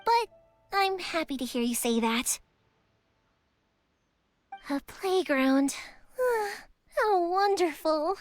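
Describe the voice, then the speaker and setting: A woman voicing a cheerful, chatty young woman in a video game, with a girlish, expressive, earnest delivery. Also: very high-pitched, very slow, pauses often, full character voice. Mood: dreamy, wistful. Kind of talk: video game character voice lines. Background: background music.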